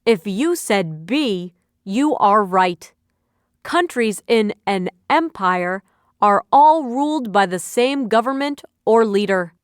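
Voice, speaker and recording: A woman with a smooth, crisp, mid-pitched voice leads a scripted lesson review. She speaks slowly with deliberate, instructional phrasing. The sound is dry, with no room echo. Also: animated. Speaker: quiz narrator, instructor. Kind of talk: scripted educational narration.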